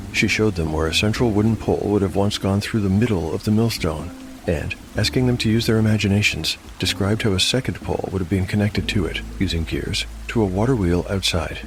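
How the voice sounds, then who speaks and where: A man narrating as host of a scripted dark fantasy story show, in a measured, articulate storytelling manner. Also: low-pitched, background noise. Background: music.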